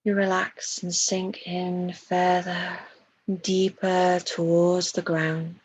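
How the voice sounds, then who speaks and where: A woman leading a guided meditation in a soft, breathy voice, soothing and hushed, with long pauses between phrases. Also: lowish voice, monotone, slight background noise, rough recording.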